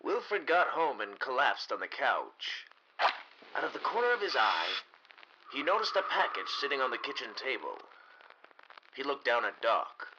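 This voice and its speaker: A male narrator tells a 1930s-style radio mystery in a dramatic, deliberate way. His mid-pitched voice rises and falls a lot, over clear background noise. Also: big pitch swings, sharp tone, highs cut off. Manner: dramatic storytelling with deliberate pauses.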